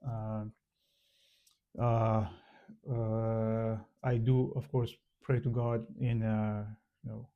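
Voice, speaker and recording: A man from Iran with a Persian accent, a native Farsi speaker, casually explaining to the camera with many pauses, fillers and restarts. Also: fairly low pitch, monotone, clear, resonant tone, highs cut off. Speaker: channel host.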